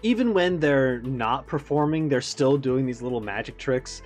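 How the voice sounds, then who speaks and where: A man's voice: mid-range voice, expressive, moderate pace, a little mellow. A man hosting a reaction video, giving casual, off-the-cuff commentary. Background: faint music.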